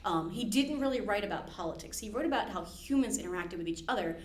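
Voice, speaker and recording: Fast-talking woman host, echoey room. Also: mid-range voice, expressive. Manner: quick, playful, emphatic. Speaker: podcast host, librarian. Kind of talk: casual podcast discussion. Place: room at a library.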